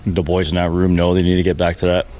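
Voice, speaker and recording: Low voiced guy, pretty flat, baseball manager doing postgame answers, halting, bit of background noise. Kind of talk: post-game press conference answers. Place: post-game press session with reporters. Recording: faint background noise at press session.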